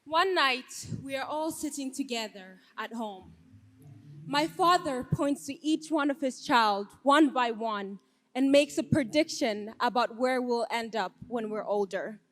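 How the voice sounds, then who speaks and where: Brief: woman from Ethiopia, deliberate live storyteller, slow and high-ish, reverberant hall. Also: animated, a bit bright, a little hiss or hum. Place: stage before a live audience. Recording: long hall reverb on stage.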